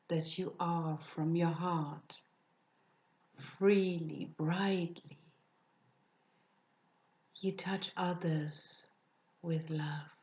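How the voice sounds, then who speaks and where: A woman leading a guided meditation, speaking softly and soothingly, often dropping into breathy whispers. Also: lowish voice, highs cut off. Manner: slow, soft, soothing with breathy whispered phrases.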